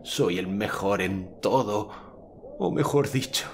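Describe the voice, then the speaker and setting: A man voicing a defeated knight in an audiobook, proud of his strength but hoarse, bitter and dejected. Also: lowish voice, takes it slowly, slight background noise, voice pushed up. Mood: bitter, despairing.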